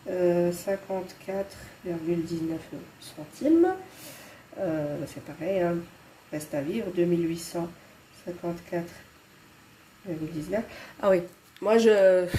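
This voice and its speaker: A woman's voice: fairly low pitch, a little slow, long pauses, mellow, a little hiss or hum, rough recording. A woman in her thirties with a Belgian French accent and a head cold, chatting casually and hesitantly to her viewers as she works through her monthly budget. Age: 30s. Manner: hesitant, many fillers and restarts. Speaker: budgeting vlogger.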